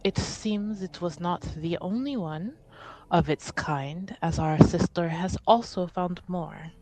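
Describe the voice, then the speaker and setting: A woman voicing a weary, formal noblewoman in a tabletop game, with a measured, guarded and exasperated manner. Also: fairly low pitch, warm tone, low-quality recording. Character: weary, formal noblewoman acting for her house.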